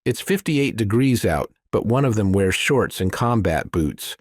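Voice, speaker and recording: A man with a deep voice reads thriller fiction as an audiobook narrator. His storytelling is measured and wry, recorded dry and close. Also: expressive, slightly rough, no room sound. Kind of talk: scripted thriller narration, present tense.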